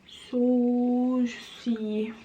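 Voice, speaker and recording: A woman's voice: on the high side, level tone, drawn-out words, dark tone, slight background noise, poor audio. A young woman from Senegal, chatting casually to camera as she does her makeup at home, with loose talk full of fillers. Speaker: vlogger. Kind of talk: casual chat while doing makeup.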